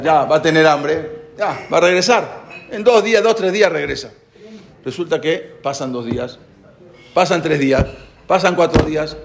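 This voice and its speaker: A man's voice: a little high, a little hiss or hum. A man giving a spoken Torah class, asking his listeners questions and telling a parable. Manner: animated storyteller, asks the listeners questions.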